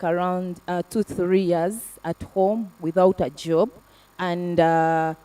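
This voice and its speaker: Earnest woman, dark distant voice. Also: lowish voice. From Africa. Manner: earnest, halting with fillers and restarts. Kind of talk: panel testimony.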